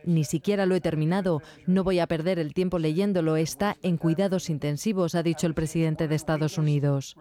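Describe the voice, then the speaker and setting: A man's voice: on the high side, dark tone. A male reporter delivering scripted news narration.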